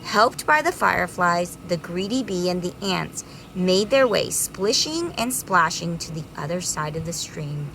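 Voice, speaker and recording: A woman's voice: on the low side, lively intonation, unhurried, creaky, few pauses, background noise. A woman reading a children's story aloud expressively, drawing out words dramatically for young listeners.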